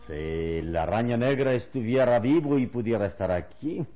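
Calm, wise adventure hero in vintage radio drama; very low voice, deliberate delivery, dull lo-fi recording. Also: male voice, heavily acted. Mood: admiring, serene. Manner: calm, deliberate, reassuring elder to a boy. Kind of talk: vintage Spanish-language radio serial acting.

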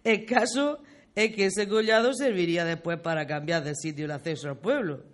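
A performer playing a talkative, fond old grandmother, reminiscing in folksy, expressive style in a community radio play. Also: medium pitch, moderate pace, lightly acted. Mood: chatty, storytelling. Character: talkative, fond old village grandmother telling local history.

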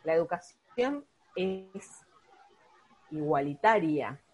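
Husky Rioplatense woman chatting. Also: low-pitched, animated, slow, darkish tone, lo-fi sound. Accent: Rioplatense Spanish. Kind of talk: casual conversational discussion.

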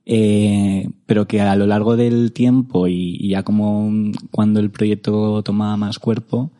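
A man's voice: fairly low pitch, level tone, unhurried, warm tone. A young man from Madrid, chatting casually as a guest, hesitant and full of fillers and restarts. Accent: Madrid Spanish. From Spain. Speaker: guest photographer. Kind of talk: casual interview chat.

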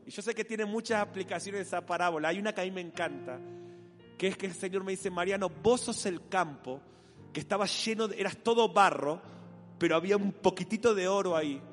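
Rioplatense male pastor, animated and playful sermon delivery, high-pitched, church hall with long reverb. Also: a little fast, soft background music. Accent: Rioplatense Spanish. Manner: animated, acts out voices for a playful imagined dialogue.

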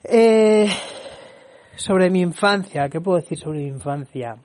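A man's voice: sits high, animated, a little slow, darkish tone. Chatty young man from Spain. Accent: Barcelona Spanish. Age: young adult. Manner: chatty, laughs often, restarts words. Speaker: podcast host. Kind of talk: casual solo podcast chat.

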